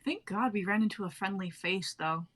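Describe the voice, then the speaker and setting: A woman's voice: medium pitch, normal speed, a bit bright, lightly acted. A young woman playing a tough, guarded but candid adventurer in a dramatic reading. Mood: uneasy, relieved. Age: young adult. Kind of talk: online dramatic script reading.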